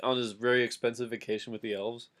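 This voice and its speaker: A man's voice: mid-range voice, little pitch movement, fast talker, a bit bright. A man chatting casually and comically as a co-host reacting to a reading.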